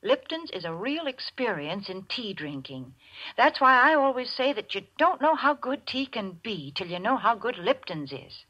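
A woman with a slightly high voice gives a vintage radio sales pitch. She is prim, folksy and chatty, heard through a slightly dull old broadcast. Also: sing-song, moderate pace, fairly bright tone. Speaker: sponsor spokeswoman. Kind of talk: 1940s radio commercial pitch.

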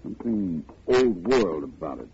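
An actor in a 1950s radio drama playing a courteous, self-assured gentleman detective, polite and knowing in manner. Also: low-pitched, very animated, measured pace, heavily acted. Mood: courteous, thoughtful. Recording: tinny, noisy old radio recording.

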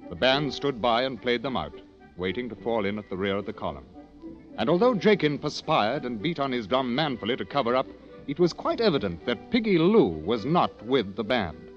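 An actor playing a war correspondent who narrates an army adventure in an old radio drama. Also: male voice, mid-range voice, sing-song, normal speed, natural voice. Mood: measured storytelling. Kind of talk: scripted 1940s-50s radio drama narration. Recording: dull old radio recording. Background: music.

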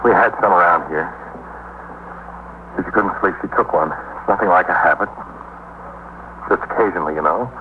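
A man's voice: deep voice, moderate pace, long pauses, close to an everyday voice. A man playing an anxious, evasive husband in a 1950s radio drama, hesitant and repeating himself. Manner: hesitant, repetitive, pleading. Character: anxious, evasive husband fretting over his wife. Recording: tinny 1950s radio recording, faint noise.

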